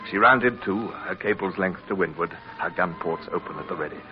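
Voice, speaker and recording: A man's voice: low-pitched, a little fast, a little hiss or hum, close to an everyday voice. Man acting earnest young naval midshipman, dull vintage recording. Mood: grave recollection. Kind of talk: old radio drama acting and narration. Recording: dull vintage radio recording, loud music.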